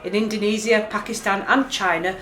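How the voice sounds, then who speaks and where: A woman's voice: medium pitch, steady tone, brisk, fairly bright tone, lightly acted. A woman playing a stern, exasperated university lecturer in a radio play, formal and admonishing. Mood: lecturing. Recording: roomy amateur recording, faint background noise.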